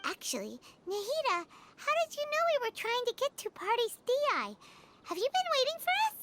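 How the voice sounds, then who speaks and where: An actress voicing a tiny, childlike fairy companion in a video game, chatty and exclamatory with a squeaky voice. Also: female voice, wildly swooping pitch, moderate pace, fairly bright tone, slight background noise, exaggerated voice. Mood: curious.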